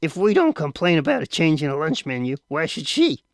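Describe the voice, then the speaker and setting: A man's voice: fairly high pitch, sing-song, fairly quick, dry recording, studio quality, voice pushed up. A man reading aloud voices a stern, wealthy father who questions his son firmly. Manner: firm, clipped and questioning.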